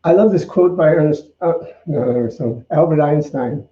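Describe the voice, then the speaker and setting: A man speaks as a minister giving an informal, reflective spiritual talk. His voice sits a little high for a man and he talks conversationally with some hesitation. The sound is roomy and echoing, with a slightly dull tone. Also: moderate pace. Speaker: minister, co-host.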